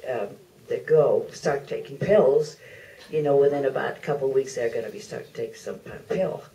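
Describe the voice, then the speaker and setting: An older woman with a creaky voice asking a chatty, rambling question from the audience. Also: low voice, mellow. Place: theater audience at a live Q&A. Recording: distant mic, faint room noise.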